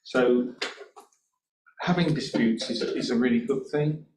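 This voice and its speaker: A man gives a council committee his professional view, stressing his points with deliberate repetition. He is heard from a distance in an echoey meeting room, with faint hiss behind him. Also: mid-range voice, darkish tone. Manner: deliberate, emphatic, with repeated words. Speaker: independent reviewing officer manager.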